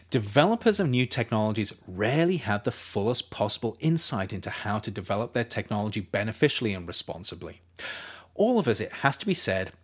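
A man reading his own nonfiction writing aloud as a narrator, measured and explanatory, with emphatic phrasing. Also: fairly low pitch. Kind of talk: scripted audiobook-style narration.

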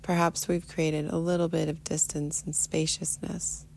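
A woman leading a guided meditation in a soothing, unhurried manner, often trailing into a breathy near-whisper. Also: deep voice, little pitch movement, darkish tone, slight background noise. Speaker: guided meditation teacher, podcast host. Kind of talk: guided meditation, soft instruction.

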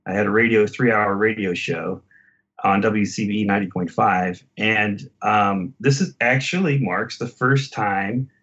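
Low-pitched male podcast guest, slightly muffled. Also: measured pace, fairly bright tone. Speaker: podcast guest, former radio host. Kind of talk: casual conversation.

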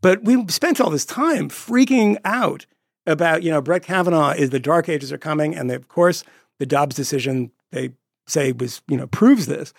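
A man co-hosting a podcast, talking in a quick, digressive, jokey way about politics. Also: a little high, gravelly, no echo, clean studio sound. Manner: quick, digressive, jokey, slips into put-on voices. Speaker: podcast co-host. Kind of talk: casual political chat.

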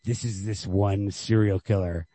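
A man talking through a book in casual discussion, halting and peppering his thoughts with "like". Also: on the low side, steady tone, mellow, no room sound. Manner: halting, filler-heavy with frequent "like", casual swearing. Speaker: podcast host discussing short stories.

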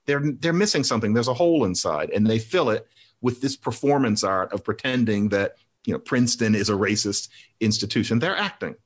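A man's voice: fairly low pitch, expressive, a little fast. A middle-aged man talking through ideas and telling a personal story in a conversational discussion, voice rising sharply when he makes a point. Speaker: commentator.

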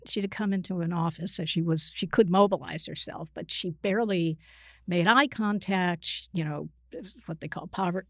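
An older woman, a psychiatrist guest speaking conversationally in an interview, halting and reflective, often qualifying herself mid-sentence. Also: on the low side, no echo, studio quality. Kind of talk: conversational interview, recounting clinical experience.

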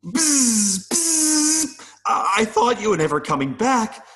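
A man playing an adult male mayfly in an audio play, nervous and earnest, pleading haltingly with little buzzing sounds. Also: very high-pitched, big pitch swings, a bit bright, full character voice. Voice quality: buzzing interjections between phrases.

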